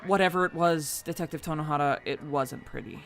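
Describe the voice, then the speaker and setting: Man acting a higher-voiced, frank police officer. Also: fairly quick, a bit bright. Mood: sober. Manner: matter-of-fact, conversational. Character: frank, casual male crime-scene police officer briefing superior. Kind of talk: audio drama acting. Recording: noisy scene, siren ambience behind.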